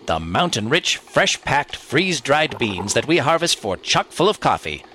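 An actor playing an earnest, salesy announcer in a parody of a 1940s radio sponsor spot. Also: male voice, medium pitch, very animated, normal speed, bright, forceful tone, a little hiss or hum. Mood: grandly salesy. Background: music.

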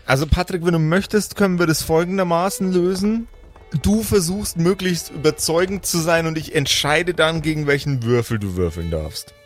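Man, game master, slightly high pitch, casual explanatory delivery, faint background music. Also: normal speed. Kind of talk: casual live tabletop game moderation.

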